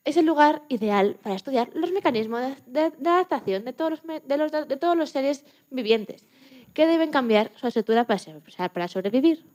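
A woman from playing a lively, joking retired Antarctic scientist in her eighties in a radio interview, often restarting her sentences. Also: high-pitched. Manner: chatty, joking, halting with restarts. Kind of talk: scripted mock radio interview.

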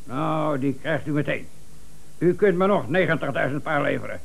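Creaky, blunt businessman. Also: fairly high pitch, expressive, moderate pace, heavily acted. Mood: pleased. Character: blunt, self-satisfied American shoe buyer. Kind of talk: comic radio play acting. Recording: 1970s radio play recording.

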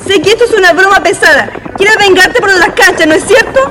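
Indignant young Chilean woman, very high voice, quick, scolding, radio drama, noisy background. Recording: noisy, outdoor street ambience.

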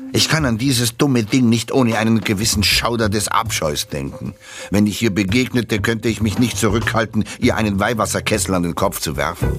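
A low-voiced man an actor recites literary insults in a measured, biting way, like a scripted reading. Faint music plays behind him. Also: close to an everyday voice. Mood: disgusted. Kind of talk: scripted literary recitation, quote then author's name.

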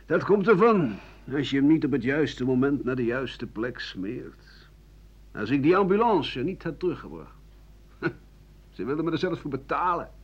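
An actor playing a bitter old French doctor-writer in a radio play, rambling through a sardonic, coarse, self-justifying monologue in a husky voice. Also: male voice, a little high. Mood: agitated, bitter. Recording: dull lo-fi 1980 radio recording.

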